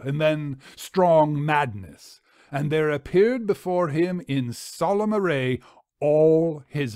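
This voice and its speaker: A man's voice: on the high side, a little mellow, no room sound, clean studio sound, voice pushed up. A man reading as the haunted narrator of a Victorian tale, in a grave, literary storytelling manner. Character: haunted first-person male narrator of a Victorian mesmeric tale.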